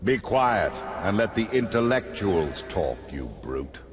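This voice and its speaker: An actor playing an arrogant, intellectual god in a fantasy video game, coldly superior and condescending. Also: male voice, on the low side, big pitch swings. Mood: scornful. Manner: condescending, measured.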